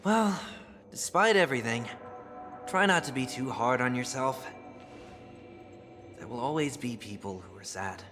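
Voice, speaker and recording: An actor playing a calm, courteous and melancholy young man in a game cutscene, speaking in a measured, formal way. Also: medium pitch, in a large hall. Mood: gently reflective. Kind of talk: scripted game cutscene dialogue. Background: music.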